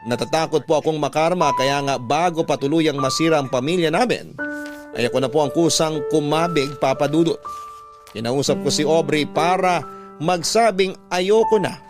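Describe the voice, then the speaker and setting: Higher-pitched man narrating over music. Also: normal speed. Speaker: radio drama narrator. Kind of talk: scripted first-person letter narration, classic radio storytelling. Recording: prominent music behind narration. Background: instrumental music.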